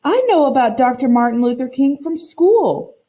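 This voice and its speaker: A woman's voice: high voice, sing-song, normal speed, dark tone, pitched above the performer's own voice. A woman voicing a curious, polite young boy with eager questions in a children's picture book read-aloud.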